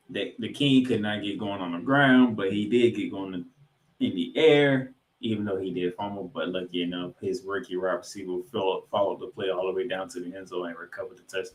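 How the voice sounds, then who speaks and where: A man co-hosting a sports podcast, recapping football and reading stats with emphatic, drawn-out key figures. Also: low voice. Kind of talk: football recap commentary with stat read-outs. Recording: echoey, roomy sound.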